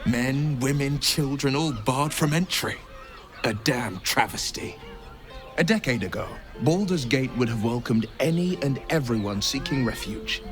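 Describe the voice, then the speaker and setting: A man playing a grave, bitter observer in a video game, with measured, rhetorical, sardonic delivery. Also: mid-range voice, expressive, soft background music, lightly acted. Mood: indignant, grave. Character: grave, bitter observer condemning a tyrant's cruelty. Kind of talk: dramatic video game acting.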